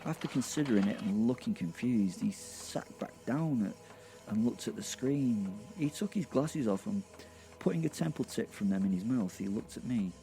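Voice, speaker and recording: A man's voice: lowish voice, lively intonation, moderate pace, mellow, low-quality recording. A man narrating a comic horror audio drama in the first person, with a wry, conversational manner.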